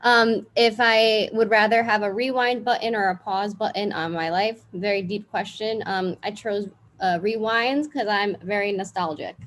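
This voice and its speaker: Woman chatting casually, mid-pitched voice. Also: steady tone, moderate pace, fairly bright tone. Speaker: community coordinator. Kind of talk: casual chat in a meeting.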